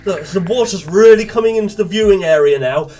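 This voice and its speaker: Male actor as exasperated bossy father, urgent, high-pitched, audio drama, distant from mic, loud music. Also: a little fast, close to an everyday voice. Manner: urgent, barking orders.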